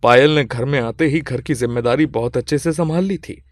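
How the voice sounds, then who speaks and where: Male storyteller, scripted narration, medium pitch, dry close recording. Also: brisk, no echo.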